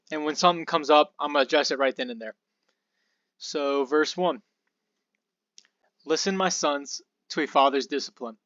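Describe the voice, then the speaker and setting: Slightly hoarse young man, dry dull sound. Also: on the high side, dry recording. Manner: relaxed and rambling, with fillers and asides. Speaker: podcast host. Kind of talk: casual Bible study talk.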